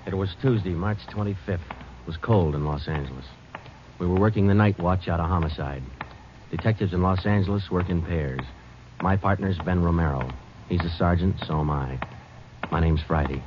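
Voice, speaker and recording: An actor playing a terse, weary American detective sergeant in a deadpan old radio crime drama. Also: male voice, low voice, normal speed, lightly acted. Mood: deadpan, matter-of-fact. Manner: clipped, deadpan. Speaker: narrator and lead actor. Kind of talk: 1940s-50s radio crime drama narration. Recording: muffled old radio recording, loud music.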